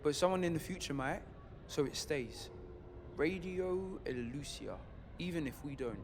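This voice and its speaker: High-voiced, earnest rebel man, reverberant. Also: expressive, a little slow. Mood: quiet conviction. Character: earnest, determined male rebel in dystopian city. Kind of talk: audio drama dialogue. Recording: long reverb, faint background noise.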